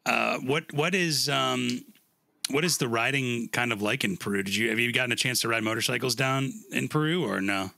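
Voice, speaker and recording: Gravelly male podcast host, dry sound. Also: medium pitch, pushed and bright, no room sound. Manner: informal, rambling, halting questions. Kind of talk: casual interview chat.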